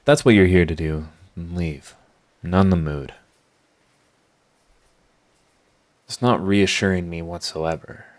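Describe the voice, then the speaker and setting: A man plays a flippant male villain in an ASMR-style audio drama. His voice sits low. He draws out his mockery with sarcastic, theatrical teasing and a prickly, begrudging charm. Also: a little mellow, natural voice. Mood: defensive, irritated. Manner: sardonic, theatrical teasing, drawn-out mocking delivery.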